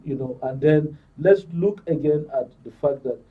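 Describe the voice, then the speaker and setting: A Nigerian man giving measured, formal and wordy current affairs analysis as a panel guest. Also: on the high side. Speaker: studio guest, security commentator. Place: broadcast studio panel. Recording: distant mic, dull muffled audio.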